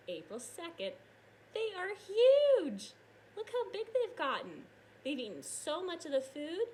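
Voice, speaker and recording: Lady teacher doing a read aloud for little kids, super animated, explains stuff, bit of noise behind her. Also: mid-range voice, rough recording. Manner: expressive, explanatory, addressing young children. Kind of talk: children's read-aloud and science lesson.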